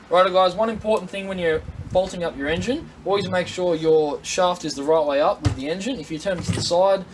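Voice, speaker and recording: An Australian man giving an informal, plain-spoken, step-by-step product demonstration in a casual instructional style. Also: a little high. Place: indoor workshop at a tool bench. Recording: noisy workshop recording with clicks.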